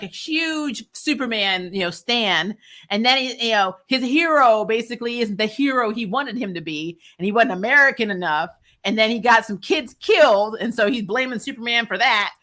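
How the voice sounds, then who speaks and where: A woman hosting a recap show, giving casual, opinionated TV review chat in an animated, blunt, exasperated way with plenty of swearing. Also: mid-range voice. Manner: animated, exasperated, blunt and profane, mock-scolding; laughs often while talking.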